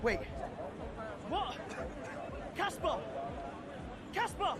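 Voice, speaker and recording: Young race driver, frustrated and hot-headed, really high swinging voice, loud crowd behind. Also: male voice, sing-song, very slow, heavy background noise, full character voice. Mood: stunned. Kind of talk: video game cutscene acting.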